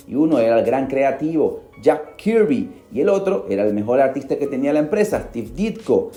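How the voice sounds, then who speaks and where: A man's voice: mellow, reverberant. A man hosting a radio podcast, telling a story in a theatrical way and speaking straight to the listener. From Peru. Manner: theatrical, addresses listener directly, swings pitch for emphasis. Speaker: podcast host. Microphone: studio microphone.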